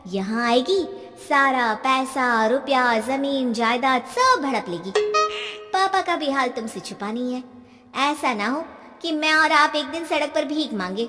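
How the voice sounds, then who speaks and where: A woman voicing a greedy, manipulative young daughter in a moral story, insistent and sharp-tongued. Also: high voice, lively intonation, measured pace, faint music behind, heavily acted. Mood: alarmist, pushy. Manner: insistent, insinuating, coaxing. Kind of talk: acted moral story dialogue. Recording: long reverb on the voice.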